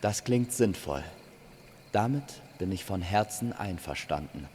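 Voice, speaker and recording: A man's voice: sits low, animated, unhurried, a little mellow, big-room sound, slight background noise. Man voicing Amor, an earnest winged love spirit. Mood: sincere agreement. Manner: sincere, grateful, humble. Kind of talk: storybook audio drama character.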